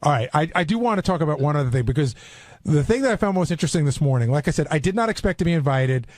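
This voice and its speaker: Male radio regular, casual and probing, rapid delivery, slightly high voice, dry studio. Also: animated, a little mellow, dry recording. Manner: probing, pointed. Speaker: radio show regular asking questions.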